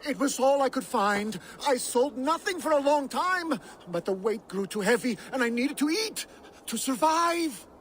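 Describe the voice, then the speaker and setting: A sly, cowardly merchant with a very high, bright voice, full of wheedling patter and begging. It is a video game cutscene with faint background noise. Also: male voice, animated, unhurried, exaggerated voice. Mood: pleading. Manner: wheedling, pleading salesman patter. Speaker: merchant character.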